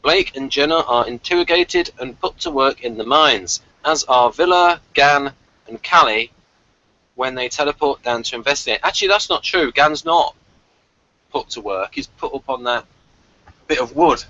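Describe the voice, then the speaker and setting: A man's voice: medium pitch, animated, moderate pace, pushed and bright, dull audio. A man hosting a review discussion, recapping and chatting casually, hesitant and often correcting himself. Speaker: podcast host. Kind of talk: episode synopsis and casual review chat.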